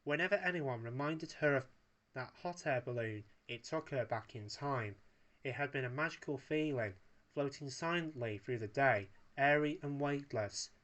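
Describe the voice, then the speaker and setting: A male storyteller reads a narrative aloud. His voice sits at a middle pitch, in a scripted storytelling style. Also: moderate pace, fairly bright tone.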